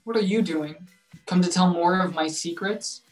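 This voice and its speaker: A woman's voice: on the low side, normal speed, soft background music, natural voice. A young woman playing a dejected, wry grown-up son in a play reading over a video call. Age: young adult. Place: room at home, indoors.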